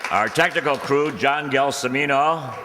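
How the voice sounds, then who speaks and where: A man's voice: medium pitch, unhurried. A man announcing scripted credits as the announcer of a radio comedy show. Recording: echoey hall, crowd and clear noise.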